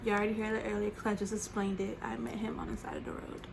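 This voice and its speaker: A woman's voice: medium pitch, flat delivery, racing, vocal fry. A woman, teasing her partner on a casual couple's vlog. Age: 30s. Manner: teasing, playful. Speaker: vlogger. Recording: noisy room.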